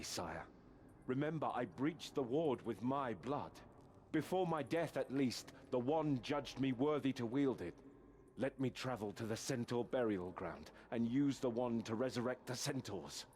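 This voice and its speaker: An actor playing a proud, honourable knight in a fantasy game, speaking with earnest, formal resolve. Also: male voice, medium pitch, expressive, unhurried, darkish tone, slight background noise, natural voice. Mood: earnest pleading. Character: proud, honourable male knight resisting inner corruption. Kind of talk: scripted fantasy game acting. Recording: faint background ambience.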